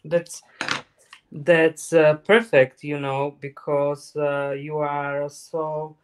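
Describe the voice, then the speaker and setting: A man demonstrating a craft project on a live stream, talking slowly and haltingly with many fillers. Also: fairly high pitch, level tone. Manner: hesitant, many fillers, halting non-native phrasing. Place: craft table indoors.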